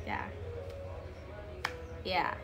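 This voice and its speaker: A woman's voice: extremely slow, loud music behind the voice. A young woman in her twenties with a South African accent, chatting casually to camera at home and drifting into joking asides. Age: 20s. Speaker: YouTuber. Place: living room at home, indoors.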